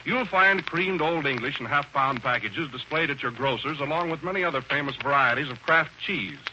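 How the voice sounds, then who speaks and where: A man's voice: fairly high pitch, brisk, sharp tone. A man reading a 1930s radio commercial as announcer, with a polished, persuasive sales delivery. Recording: noisy, muffled vintage radio broadcast.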